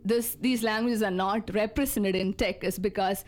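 A woman from South India whose first language is Malayalam, a researcher and lecturer explaining her work as a radio interview guest in a hesitant way, with many pauses and fillers. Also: mid-range voice, fairly even intonation, darkish tone. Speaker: speech technology researcher and lecturer.

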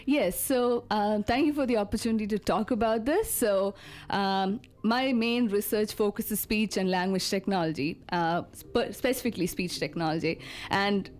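A woman's voice: medium pitch, darkish tone. Hesitant Malayalam-speaking woman explaining research. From South India. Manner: hesitant and explanatory, many pauses and fillers. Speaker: speech technology researcher and lecturer. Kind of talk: radio interview guest.

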